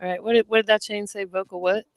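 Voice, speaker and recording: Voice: mid-range voice, level tone, fast, heavy background noise. A podcast host asking questions in an upbeat, encouraging way and praising a guest's music. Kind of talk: casual podcast interview.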